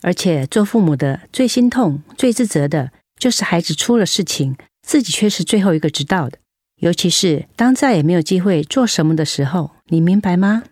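A caring nurse with an older-sister firmness in a radio drama. She has a lowish voice and Taiwanese Mandarin accent, counselling earnestly in a dry, close recording. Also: lively intonation, a little fast, dry recording. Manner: earnest, gently admonishing. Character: caring, firm older-sister nurse looking after a relative.

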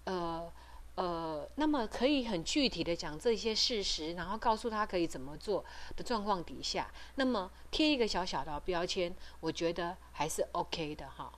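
A middle-aged woman with a Taiwanese Mandarin accent giving informal advice as a host, explaining things hesitantly with frequent pauses and trailing particles. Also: on the low side, expressive. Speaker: podcast host and parent advocate. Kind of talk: informal advisory talk.

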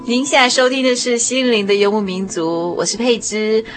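A woman's voice: a little high, steady tone, normal speed. Woman radio host over background music. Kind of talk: conversational hosting of a music segment.

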